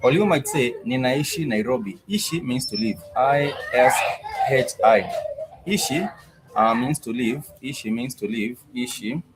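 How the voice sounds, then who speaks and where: A man's voice: medium pitch, expressive, measured pace, very noisy. A man teaching a live online language class, patiently repeating each phrase several times.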